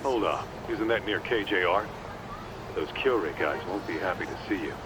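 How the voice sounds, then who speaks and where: A laid-back but wary sidekick, with a very deep voice. He talks casually in crime game style over noisy street and traffic sound. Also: expressive, moderate pace. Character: laid-back, wary male associate of an investigator. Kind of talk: video game cutscene acting.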